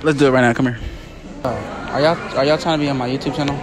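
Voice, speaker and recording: A man's voice: mid-range voice, steady tone, very fast, background noise. A young man interviewing strangers in a large clothing store with a handheld mic, joking and teasing as he talks. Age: young adult. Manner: joking, teasing, laughs a lot. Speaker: street interviewer. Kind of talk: playful prank interview. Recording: loud music behind.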